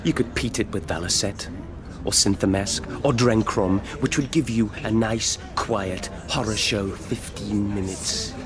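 A man's voice: lowish voice, unhurried, a crowd in the background, lightly acted. An actor with a British accent playing a sly young delinquent who narrates his own tale for a radio drama. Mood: sly, relishing. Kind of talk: dramatic first-person narration.

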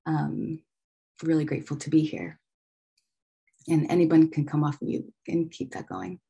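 A woman with a low, husky voice and even tone opens the round of introductions, brisk and grateful. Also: muffled recording. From Michigan. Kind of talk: casual self-introduction.

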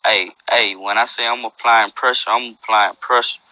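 A man's voice: lowish voice, fairly even intonation, normal speed, pushed and bright, no echo, low-quality recording. A young man hosting a phone livestream at night, boasting in loose street slang and freestyling. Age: young adult. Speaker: livestream host. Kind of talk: casual livestream banter and freestyle rap. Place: dim room at night. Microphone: earbud headset mic on a phone.

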